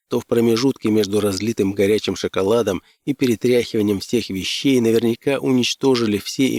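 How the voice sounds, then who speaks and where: A man's voice: on the low side, a little mellow, no echo, studio quality. A man reading scripted first-person fiction as an audiobook narrator.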